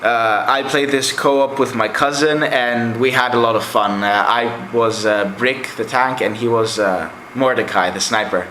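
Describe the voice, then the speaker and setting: Young adult man, native Greek speaker, reviews games in a chatty ramble; bright voice, roomy echo. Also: medium pitch, fairly even intonation, unhurried, hardly any pauses, a little hiss or hum. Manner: rambling and chatty, with many fillers. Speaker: game collector vlogger. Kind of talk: casual game collection review. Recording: echoey room.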